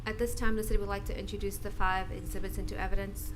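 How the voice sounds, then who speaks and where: A woman's voice: medium pitch, level tone, quick pace, background noise. A woman presenting the city's case at a board hearing, asking careful, procedural clarifying questions.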